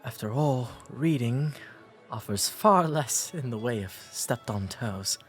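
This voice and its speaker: A man with an English accent playing a courteous, shy, bookish 19th century gentleman in a romantic audio drama, speaking formally and gently. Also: medium pitch, animated, unhurried, soft background music. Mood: apologetic, gently teasing. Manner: formal, polite old-fashioned diction with hesitant, gentle delivery.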